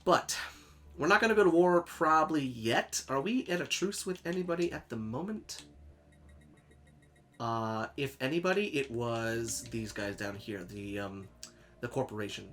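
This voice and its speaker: A man's voice: mid-range voice, animated, normal speed, a bit bright. A young man hosting a casual gaming let's play, chatty and upbeat, laughing easily, sounding a bit stuffy and sniffly from a cold. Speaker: gaming channel host. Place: home in Sweden.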